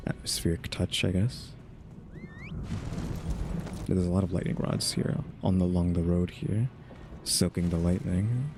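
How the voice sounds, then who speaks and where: A man's voice: low-pitched, measured pace, pauses often, loud music behind the voice. A man giving casual, rambling game playthrough commentary, musing aloud with questioning asides. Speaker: gaming streamer.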